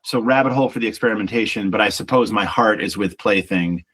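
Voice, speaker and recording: A man with a low baritone speaks in a relaxed, reflective interview manner. He often doubles back on his words and muses as he explains his songs. The tone stays easygoing and conversational. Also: fast, slightly rough. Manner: reflective, restarts with small repeats, chatty. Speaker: band singer and songwriter. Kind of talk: casual interview talk.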